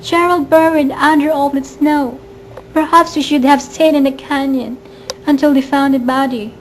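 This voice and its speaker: A woman's voice: sits high, steady tone, moderate pace, lightly acted, rough recording. A woman playing a timid, trusting woman in an amateur radio drama, anxious, hesitant and pleading. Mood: worried. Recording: faint background noise, amateur recording.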